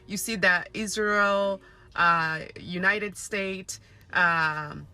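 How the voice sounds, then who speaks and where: A woman's voice: on the low side, sharp tone, clean tone, no echo, soft background music. Weary, earnest woman from Congo. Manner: earnest, pausing, hesitant. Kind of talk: personal video to camera.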